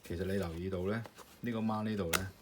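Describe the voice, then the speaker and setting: Deep-voiced man demonstrating an assembly. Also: fairly even intonation, quick pace. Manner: loose, step-by-step explaining with many fillers. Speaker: maker demonstrating his product. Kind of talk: casual hands-on assembly demonstration. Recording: clear background noise with clicking parts.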